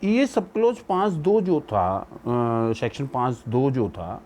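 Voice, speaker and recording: A man's voice: medium pitch, wildly swooping pitch, slow, darkish tone, a little hiss or hum. An Indian man giving an informal legal explanation, mixing English terms into his speech. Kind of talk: informal spoken legal lecture.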